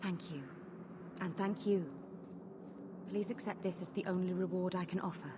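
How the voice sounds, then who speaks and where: A woman's voice: fairly low pitch, fairly even intonation, moderate pace, close to an everyday voice. A woman playing a proud, wary fugitive mage in a video game, speaking in a grave, formal, solemn manner. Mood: grateful, solemn. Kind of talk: dramatic video game acting. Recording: clear ambient noise behind the voice.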